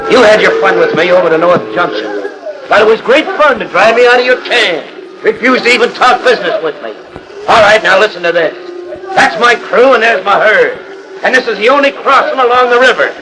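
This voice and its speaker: An actor playing a crooked, gloating cattle drover in a 1940s radio Western, with a folksy drawl. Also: male voice, sits high, lively intonation, brisk, rough recording. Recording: 1940s radio transcription disc.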